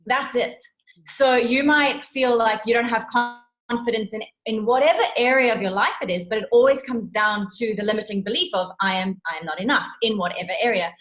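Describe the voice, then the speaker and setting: A South African woman speaks as a guest coach in a fairly high voice. Her delivery is conversational and earnest, stressing key points as she explains. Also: brisk, a bit bright. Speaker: interview guest, self-love and confidence coach.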